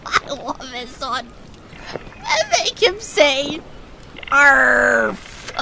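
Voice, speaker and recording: A woman's voice: high-pitched, wildly swooping pitch, extremely slow, vocal fry, noisy background, heavily acted. A woman playing an eager, scrappy first mate pirate dog in a comic audio drama, brash and folksy. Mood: gleeful.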